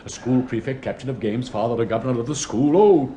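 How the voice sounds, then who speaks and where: An actor in a radio play as a pompous, sentimental older public-school headmaster with an upper-class English accent, declaiming in fussy, plummy tones. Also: male voice, mid-range voice, fast, dark tone, a little hiss or hum, natural voice. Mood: reproachful. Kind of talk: theatrical British radio play acting.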